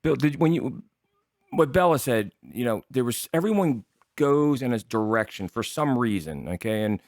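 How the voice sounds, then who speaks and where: Animated male talk show host in his sixties. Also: medium pitch, moderate pace, no echo, clean studio sound. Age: 60s. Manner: rambling, teasing. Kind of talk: casual, joking interview chat.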